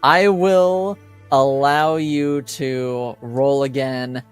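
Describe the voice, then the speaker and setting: A man running a tabletop roleplaying game as game master, narrating in a halting way as he pieces scenes together. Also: medium pitch, fairly even intonation, clear, resonant tone, soft background music.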